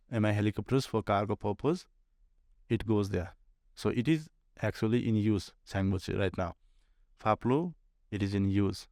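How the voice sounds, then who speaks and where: Male podcast guest, Nepali accent, low-pitched, explanatory, rephrases often, very dry room sound. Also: darkish tone, no room sound. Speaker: air traffic controller, podcast guest. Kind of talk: conversational interview answers.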